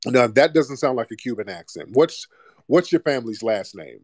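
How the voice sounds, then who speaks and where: A man's voice: medium pitch, big pitch swings, moderate pace, no room sound, clean studio sound. A man hosting a live audio room, talking bluntly and mocking callers over a phone mic.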